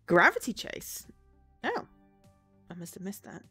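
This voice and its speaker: A woman's voice: mid-range voice, huge pitch swings, measured pace, sharp tone, husky, soft background music. A woman chatting casually and in a rambling way as a game streamer on a livestream. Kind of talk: casual livestream chat and reading game dialogue aloud.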